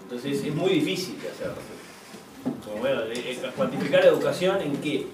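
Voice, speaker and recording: A man with a Uruguayan accent giving quick unscripted panel answers, halting and repeating phrases. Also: mid-range voice, lively intonation. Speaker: economist panelist. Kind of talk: brief unscripted answers at a forum. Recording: echoey room, distant, noisy.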